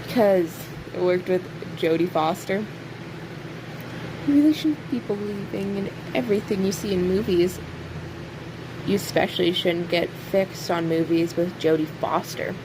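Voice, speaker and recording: A woman playing a snarky, cocky imprisoned serial killer in an audio roleplay, sarcastic and teasing, with pointed pauses. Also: on the low side, close to an everyday voice. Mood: mocking, taunting. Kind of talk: audio roleplay acting, addressing an unheard listener. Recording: steady clear background hiss or hum.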